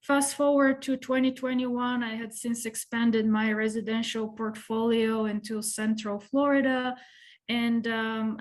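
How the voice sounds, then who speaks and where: A woman from Bulgaria answering interview questions as a guest, speaking in a measured, earnest way with light fillers. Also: fairly high pitch. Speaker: podcast guest, real estate investor.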